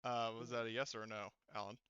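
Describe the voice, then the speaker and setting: Clear, bright male game master, dry sound. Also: medium pitch, little pitch movement, dry recording, dull audio. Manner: halting, rambling, filler-heavy delivery. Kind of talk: casual tabletop game narration and table talk. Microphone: online voice call microphone.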